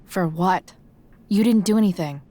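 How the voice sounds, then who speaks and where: A woman's voice: on the low side, moderate pace, dark tone, natural voice. An actress playing a sarcastic, defiant teenage schoolgirl with a blunt, casual delivery in a video game drama. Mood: incredulous.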